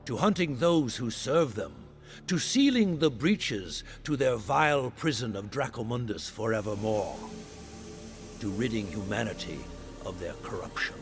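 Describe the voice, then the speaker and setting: Grave fantasy high priest, declamatory, measured pace, widely swinging intonation over music. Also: male voice, expressive. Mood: solemn, ceremonial. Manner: ceremonial, declamatory. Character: solemn high priest of a dragon-hunting order. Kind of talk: dramatic fantasy game acting.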